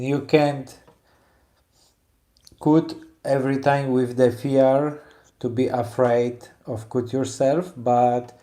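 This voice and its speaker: A man's voice: mid-range voice, mellow. A man from Poland with a Polish accent, a woodcarver who chats while he works and keeps stopping to search for English words. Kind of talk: casual chat while carving.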